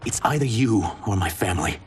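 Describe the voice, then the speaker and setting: An actor playing a sombre, reluctant reptilian shapeshifter enslaved as a jailer in a video game cutscene. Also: male voice, lowish voice, lively intonation, brisk, distant mic, a little hiss or hum, lightly acted. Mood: resigned.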